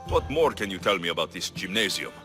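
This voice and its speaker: An actor playing a capable, earnest Greek mercenary hero in a video game cutscene. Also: male voice, deep voice, very animated, quick pace, bright, forceful tone, soft background music, heavily acted. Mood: calm, sincere.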